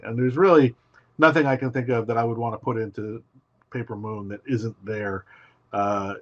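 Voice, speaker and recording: A man being interviewed as a guest writer, telling his story in an emphatic, animated way. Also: mid-range voice, highs cut off. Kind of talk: casual interview.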